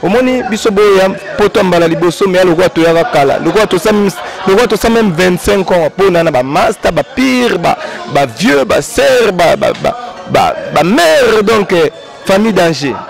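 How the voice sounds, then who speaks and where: A man from Congo-Brazzaville with a Congolese French accent, a guest artist rambling through an interview and giving long shout-outs. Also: sits high, expressive, moderate pace, creaky, over music.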